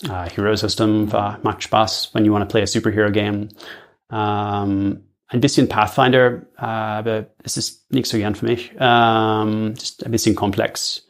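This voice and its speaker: A native English-speaking man chatting casually as a podcast guest, mixing his English with halting German. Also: lowish voice, level tone. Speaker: podcast guest, audio drama creator. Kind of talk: casual interview chat.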